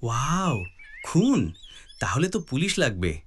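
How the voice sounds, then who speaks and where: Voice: medium pitch, sing-song, takes it slowly, husky, heavily acted. A performer voicing a curious, eager young grandson who asks questions in a children's cartoon. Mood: amazed, questioning.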